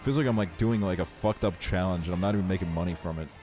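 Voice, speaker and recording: A man with a fairly low-pitched voice chats casually as he livestreams a game. His talk is slangy and full of swearing. Soft music plays behind him. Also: quick pace. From Estonia. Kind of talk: casual chat while streaming a video game.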